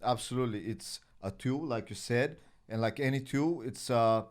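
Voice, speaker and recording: A man from Bulgaria with a middle-pitched voice chats on a casual podcast. He is agreeable and often pauses or restarts as he works toward his point. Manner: agreeable, hesitant, many fillers and restarts. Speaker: podcast co-host.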